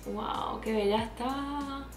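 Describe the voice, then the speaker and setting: A young woman with a Puerto Rican accent chats casually as she does her makeup on camera, mixing in English words. Her voice sits a little high. The room rings noticeably, with soft music underneath. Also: slow, reverberant. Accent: Puerto Rican Spanish. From Puerto Rico. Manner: chatty, mixes English words into Spanish. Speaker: makeup vlogger. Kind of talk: makeup tutorial, talking while applying. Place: small indoor room with plain backdrop.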